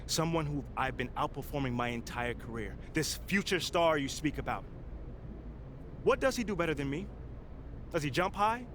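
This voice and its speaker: A man plays a proud young American pro ballplayer in a video game story. His fairly high voice moves freely up and down as he talks in an earnest, emphatic, colloquial way. There is clear noise behind him. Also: animated, moderate pace, natural voice. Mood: measured, wounded. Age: young adult. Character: driven, proud young male pro basketball player. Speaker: video game actor playing the lead character. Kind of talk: naturalistic cutscene acting, impassioned speech.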